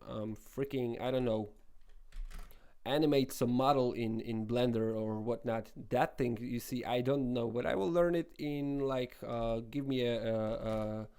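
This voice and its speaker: Rambling Polish-accented man, mellow voice. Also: medium pitch, measured pace, clear, resonant tone. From Poland. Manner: rambling, many restarts and fillers. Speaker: web development tutorial host. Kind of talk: casual screen-recorded tutorial talk.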